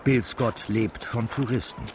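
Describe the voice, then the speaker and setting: An actor playing a wry, knowing storyteller who narrates a radio drama in an ironic, conspiratorial way. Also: male voice, mid-range voice, fairly even intonation, measured pace, vocal fry, background noise. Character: wry, knowing local storyteller addressing the listener. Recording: music behind the voice.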